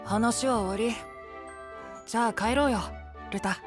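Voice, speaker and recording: A man's voice: extremely high pitch, unhurried. A man playing a cocky, breezy, boyish young knight in an anime-style audio drama, self-assured and flippant. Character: cocky, breezy boyish male star knight, proud tinkerer. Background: background music.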